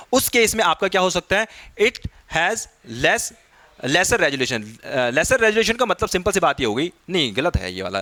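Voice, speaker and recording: A man's voice: sits high, pushed and bright, no echo. A man teaching an exam-prep lesson, explaining step by step with frequent short check-in questions and mixing in English technical terms. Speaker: physics teacher for exam preparation.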